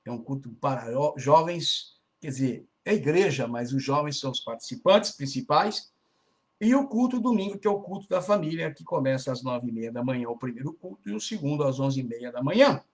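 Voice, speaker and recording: A man's voice: medium pitch, expressive, fairly quick. A man hosting a church radio broadcast, greeting listeners and making announcements in a casual, chatty way, often tagging phrases with a questioning "né?". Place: Brazilian church community in Florida.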